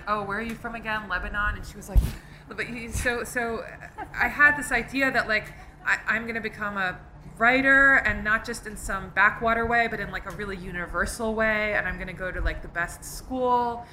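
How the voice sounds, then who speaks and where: Middle-aged woman author, bright tone, faint room noise, reflective and chatty. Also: mid-range voice, moderate pace, rough recording. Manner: thoughtful, uses many fillers like "like". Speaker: author being interviewed. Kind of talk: live author talk.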